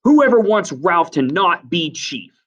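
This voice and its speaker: Guy doing a bitter defiant boy for a storybook, higher voice, loud n pushy, bit distant from mic. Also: expressive, moderate pace. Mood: demanding. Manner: loud, forceful declarations. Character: defiant, bitter, tearful boy hunter challenging the chief.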